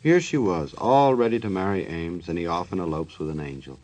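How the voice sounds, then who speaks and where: A man's voice: low-pitched, a little fast, a little mellow, rough recording. An actor playing a sardonic, wisecracking male narrator in a 1940s radio show. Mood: mocking.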